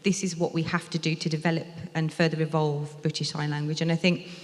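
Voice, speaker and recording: A woman interpreter voicing a deaf speaker's talk aloud, in a measured, explanatory way with some fillers. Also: low-pitched, flat delivery. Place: lecture hall at a museum event. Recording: reverberant lecture hall.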